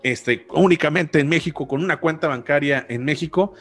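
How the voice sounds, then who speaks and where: A man's voice: a little high, moderate pace, soft background music. A man in his thirties with a Mexican accent, explaining things casually as a podcast host, often correcting himself as he goes, close on a studio microphone. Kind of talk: casual explanatory finance talk. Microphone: large studio microphone on a boom arm, close.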